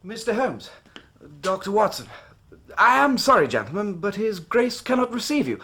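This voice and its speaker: A man's voice: high voice, sing-song, moderate pace, creaky, sharp tone, slightly rough, exaggerated voice. An actor playing a duke's fussy, deferential male secretary in a period radio drama, formal, apologetic and flustered. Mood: apologetic, evasive.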